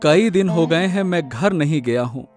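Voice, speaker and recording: A man's voice: a little high, animated, normal speed, darkish tone, faint music behind, lightly acted. A man voicing a humble, worried village servant in a cartoon drama, deferential and hesitant. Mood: worried, respectful. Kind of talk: Hindi cartoon family drama.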